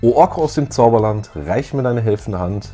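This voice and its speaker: Husky-voiced man, casual co-host. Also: mid-range voice, expressive, normal speed, mellow, soft background music. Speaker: co-host of a retro fan show. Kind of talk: casual chat, some reading aloud. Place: small room full of posters and collectibles.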